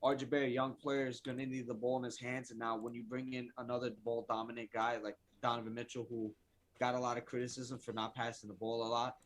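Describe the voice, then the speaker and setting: A man co-hosting a sports podcast, talking in an excitable, casual way with swearing. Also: mid-range voice, quick pace, poor audio. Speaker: podcast co-host.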